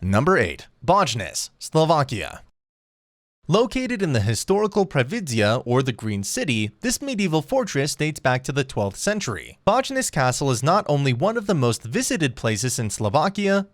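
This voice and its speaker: A man's voice: on the high side, no echo, studio quality. A man reading scripted narration for a travel countdown video in a polished, promotional manner.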